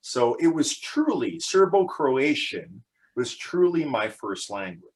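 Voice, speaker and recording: A man in his forties from Canada, speaking at an ordinary middle pitch as an interview guest. He talks casually and haltingly, filling gaps with ums and restarting his sentences, and laughs often as he goes. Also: sing-song, rough recording. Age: 40s. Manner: hesitant, many fillers and restarts.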